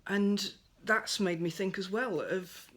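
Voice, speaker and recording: A woman giving a gentle, thoughtful monologue at home by a window, her voice soft and at times close to a whisper. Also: medium pitch, takes it slowly. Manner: soft-spoken, thoughtful, drifts into near-whispers. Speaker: pagan speaker sharing reflections. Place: at home by a window over a garden.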